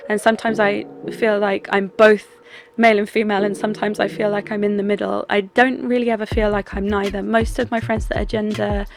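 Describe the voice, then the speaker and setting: A young adult doll artist talking through their craft in a relaxed, chatty way, with plenty of ums and restarts. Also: female voice, mid-range voice, fairly quick, hardly any pauses. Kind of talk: casual vlog talk and craft voice-over. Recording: music bed under the voice.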